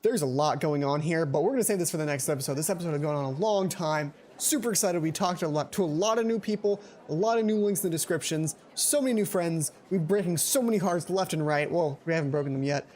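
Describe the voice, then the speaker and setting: A man's voice: a little high, quick pace, few pauses, darkish tone. A young man hosting a let's play, reading a visual novel aloud and adding casual commentary. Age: young adult. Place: small indoor home room. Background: game music.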